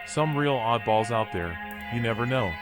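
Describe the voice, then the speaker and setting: A man's voice: medium pitch, lively intonation, normal speed, close to an everyday voice. Man playing a washed-up, philosophical drifter over music. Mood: wry, resigned. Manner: folksy, rambling, resigned. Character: washed-up male drifter animal, philosophical, wry, weary. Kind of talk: video game dialogue, noir.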